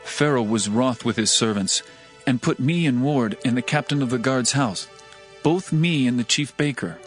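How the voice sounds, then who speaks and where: A man playing a deferential chief butler to Pharaoh, recounting in formal, measured archaic language for a Bible audio drama. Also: mid-range voice, faint music behind, close to an everyday voice. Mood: contrite, formal.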